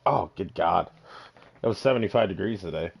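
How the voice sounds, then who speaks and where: Low-voiced swearing podcast host, lo-fi. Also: male voice, animated, a little fast, gravelly, breathy edge. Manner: loose, profane, laughs easily. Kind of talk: casual podcast chat.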